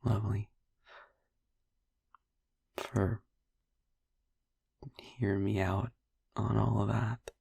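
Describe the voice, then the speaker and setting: A man playing a gentle, wistful vampire boyfriend in an intimate audio roleplay, speaking tenderly and hesitantly in a husky, breathy voice. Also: flat delivery, dry recording, heavily acted. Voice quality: husky, breathy, gravelly low voice. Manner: tender, hesitant, slow with pauses. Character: gentle, wistful male vampire boyfriend, youthful, haunted by past. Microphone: close, dry microphone.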